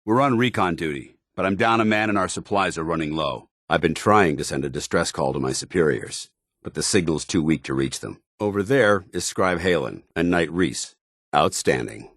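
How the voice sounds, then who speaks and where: An American actor playing a stern, disciplined armored soldier in a video game, speaking in a formal, clipped, commanding way. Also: male voice, low-pitched, lively intonation, moderate pace, a bit bright, dry recording, clean studio sound, exaggerated voice. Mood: formal, authoritative. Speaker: video game companion character. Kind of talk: scripted game dialogue and combat barks.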